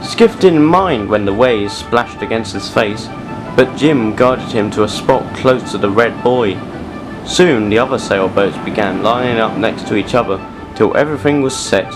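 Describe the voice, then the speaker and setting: A man's voice: lowish voice, normal speed, music in the background, rough recording. A man delivering scripted storybook narration as the narrator of an audio drama.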